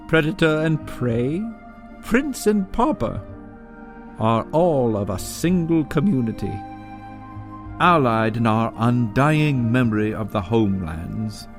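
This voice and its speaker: A man acts out a grave teller of old tales in an audio drama prologue. He speaks slowly and deliberately, with dramatic swings in pitch. New-age music plays clearly behind him. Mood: resolute. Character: solemn storyteller of an exiled fairy-tale people. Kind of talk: scripted dramatic prologue narration.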